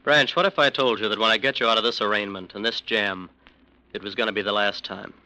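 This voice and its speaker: An actor playing a nervous defense lawyer in a 1940s radio drama, earnest and hesitant. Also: male voice, on the low side, fairly even intonation, quick pace, sharp tone, poor audio. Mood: uneasy. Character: male defense lawyer, nervous, under pressure.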